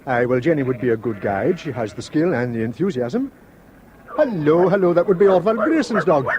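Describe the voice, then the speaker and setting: A man's voice: on the high side, animated, a little fast, dull audio, crowd noise, natural voice. An actor playing a courteous middle-aged Shetland father with a Shetland Scots accent, welcoming a guest in a radio drama. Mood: hospitable. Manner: courteous, old-fashioned island speech. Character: hospitable older Shetland crofter father. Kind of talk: 1960s radio drama acting.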